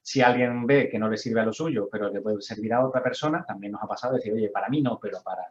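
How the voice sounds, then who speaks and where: Fast-talking man, low voice. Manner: chatty, self-deprecating. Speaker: course organizer. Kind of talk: casual introduction. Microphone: video call. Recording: dull video-call audio.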